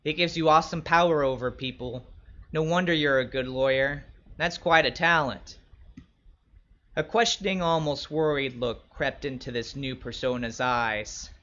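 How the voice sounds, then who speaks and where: A man playing a wry, wary young escort who narrates his own story in measured, descriptive literary prose. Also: medium pitch, fairly bright tone, muffled recording, close to an everyday voice. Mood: wary, suspicious. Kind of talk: first-person literary narration.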